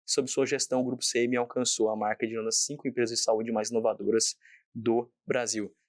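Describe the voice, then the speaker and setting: A man's voice: mid-range voice, little pitch movement, brisk, dry recording, studio quality. Enthusiastic male podcast host. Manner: enthusiastic, effusive introductions. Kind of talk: interview hosting.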